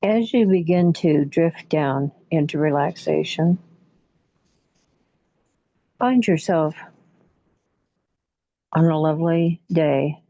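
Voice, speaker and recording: A woman leading a guided relaxation meditation in a soothing, unhurried manner, leaving long pauses between short phrases. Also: low-pitched, mellow, no echo. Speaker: guided imagery facilitator. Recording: muffled, dull lo-fi audio.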